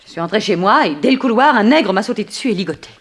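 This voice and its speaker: A woman's voice: medium pitch, lively intonation, fast talker, close to an everyday voice. An actress in a 1960s French radio drama playing an earnest, refined captive woman, formal and dramatic in her telling. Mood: dramatic, urgent.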